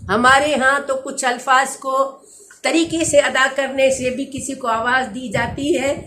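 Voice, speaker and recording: Female lecturer, slightly high voice, unhurried and patient, repeats words as she explains, faint background noise. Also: fairly even intonation, pushed and bright. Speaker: teacher. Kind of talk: slow Quranic grammar lecture.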